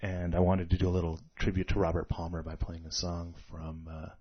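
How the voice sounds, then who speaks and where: Deep-voiced man rambling on the radio. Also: level tone, a little fast. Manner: rambling, chatty about music trivia. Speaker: radio show host. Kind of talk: casual music radio chat. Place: radio studio. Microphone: studio microphone. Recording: dull lo-fi radio recording.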